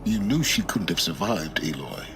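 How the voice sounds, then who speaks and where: Casting: calculating male game character, low voice with lively swoops, formal, proud, music behind. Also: vocal fry, noisy background, heavily acted. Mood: insistent. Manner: measured, formal, argumentative. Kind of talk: dramatic game voice acting.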